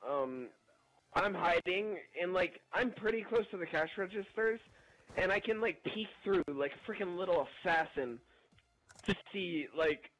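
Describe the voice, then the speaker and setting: A young man telling a casual, rambling story in an animated, slangy way while gaming. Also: sits high, measured pace, poor audio. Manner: animated, slangy, chuckling delivery. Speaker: gameplay video narrator.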